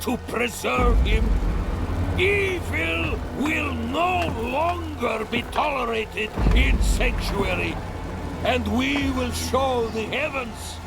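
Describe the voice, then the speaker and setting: A wrathful zealot preaches merciless justice in a fantasy game. His high, gravelly voice declaims very slowly over swelling music. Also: lively intonation, exaggerated voice. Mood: righteous fury. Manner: declamatory, slow and thunderous. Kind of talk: dramatic fantasy game acting, oratory. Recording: dramatic music behind the voice.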